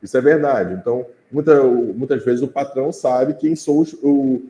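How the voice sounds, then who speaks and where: A Brazilian man gives a relaxed, chatty lecture, checking in with his students as he goes. His voice is mellow and sits at a middling pitch. The sound has a roomy echo. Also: normal speed. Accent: Brazilian Portuguese. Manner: conversational, checks in with the class with tag questions. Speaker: teacher. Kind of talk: informal class lecture.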